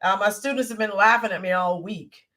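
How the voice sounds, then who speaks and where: A middle-aged woman hosting a casual livestream, her voice raspy from allergies, rambling and greeting viewers by name as she talks. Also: mid-range voice, steady tone, brisk, sharp tone. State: allergy-roughened voice. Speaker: livestream host.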